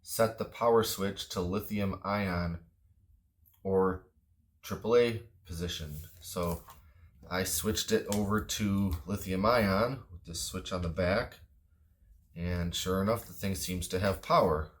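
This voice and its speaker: A man's voice: sits low, slow. A man talking through a product in a casual, unscripted review, matter-of-fact and understated.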